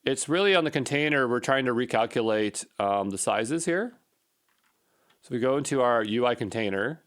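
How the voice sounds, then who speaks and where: A man with an average-pitched voice narrates a coding session in a casual way. He thinks out loud as he goes, informally muttering to himself like someone troubleshooting on screen. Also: animated, normal speed. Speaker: programmer recording a coding session.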